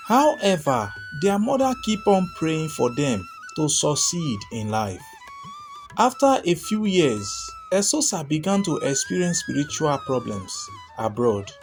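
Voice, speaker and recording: Guy reading out a folktale, slow pace, voice swinging up and down a lot, music behind. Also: fairly high pitch, a little mellow. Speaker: storyteller, narrator. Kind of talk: scripted folktale narration.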